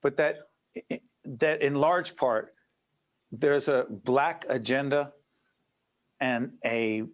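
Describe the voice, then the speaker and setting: A man from Newark, New Jersey, hosting an interview in an animated way, cutting in and firing off rapid questions. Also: fairly high pitch. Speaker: interview host. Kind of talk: conversational interview.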